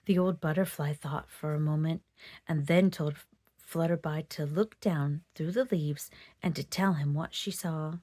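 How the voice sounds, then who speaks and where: A woman storyteller reading a children's picture book aloud in an expressive narrating style. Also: low-pitched, a little slow, no room sound, clean studio sound.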